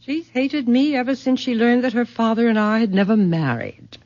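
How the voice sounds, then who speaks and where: Through a dull, lo-fi radio recording comes an actress playing a proud middle-aged matriarch. She is haughty and formal, and her pitch swings dramatically as she commands. It is bitter, imperious old-time mystery acting. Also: expressive, moderate pace, darkish tone, close to an everyday voice. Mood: bitter, defiant.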